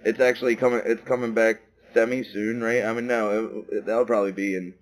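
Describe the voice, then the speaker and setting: A man co-hosting a podcast in a casual chat, laid-back and quick to laugh, with lots of fillers. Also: lowish voice, little pitch movement. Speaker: podcast co-host. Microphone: podcast microphone.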